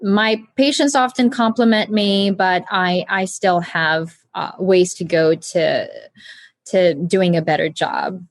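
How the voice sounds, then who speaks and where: A woman doctor as an interview guest, speaking in a calm, measured, earnest way. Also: lowish voice, no room sound. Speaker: guest, oncologist surgeon. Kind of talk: conversational interview.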